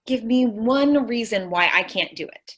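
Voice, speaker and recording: A woman as a lively, naive, scatterbrained secretary. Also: fairly high pitch, measured pace. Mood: eager, pleading. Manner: naive, pleading, earnest. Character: sweet, dim-witted, eager young secretary. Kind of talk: old-time radio comedy acting.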